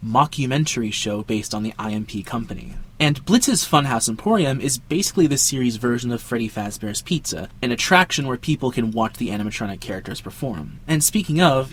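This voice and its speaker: A man's voice: medium pitch, animated, brisk, few pauses, slightly rough. A young man hosting a video essay with casual scripted narration and dramatic emphasis. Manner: dramatic emphasis with jokey asides. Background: background music.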